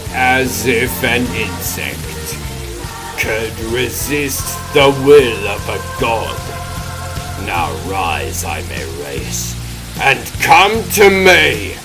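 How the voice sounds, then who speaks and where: Villain sorcerer, male: imperious and menacing, very drawn-out pompous lines, music clearly underneath. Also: mid-range voice, big pitch swings, exaggerated voice. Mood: gloating. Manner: pompous, grandiose, drawn-out commanding delivery. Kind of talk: dramatic comic dub acting. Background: dramatic music.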